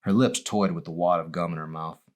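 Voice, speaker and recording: A man reading his own novel aloud as expressive audiobook narration. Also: sits low, brisk.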